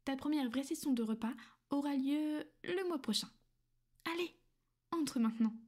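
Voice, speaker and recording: A woman voicing a gentle, possessive female vampire in an ASMR roleplay. She speaks softly and intimately, often in a half-whisper, coaxing and reassuring. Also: high-pitched, moderate pace, lightly acted. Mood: teasing.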